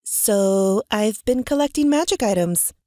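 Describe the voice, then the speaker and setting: A woman's voice: medium pitch, expressive, unhurried, warm tone, dry recording, clean studio sound, close to an everyday voice. A woman playing a guarded, defiant stage magician in an audio drama, with a wry, self-assured manner.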